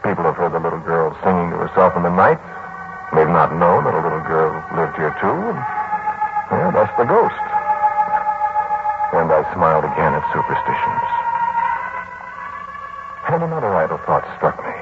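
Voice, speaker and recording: An actor playing a pensive, polite student lodger in a 1940s radio drama, speaking in a measured, brooding way. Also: male voice, low-pitched, animated. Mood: pensive, eerie. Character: pensive male student lodger recounting an eerie memory. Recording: tinny, band-limited 1940s radio recording with music.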